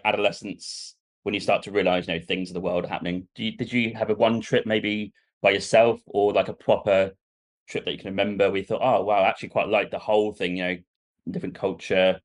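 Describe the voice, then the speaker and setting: A man's voice: fairly low pitch, little pitch movement, quick pace. A man hosting a podcast, chatting casually and asking long, rambling questions. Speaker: podcast host. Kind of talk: casual interview talk.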